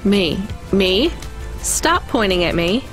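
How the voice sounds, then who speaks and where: A woman's voice: medium pitch, big pitch swings, takes it slowly, a bit bright, faint music behind. A woman playing a wry, conscientious young delivery driver in naturalistic, conversational video game dialogue. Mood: wry annoyance.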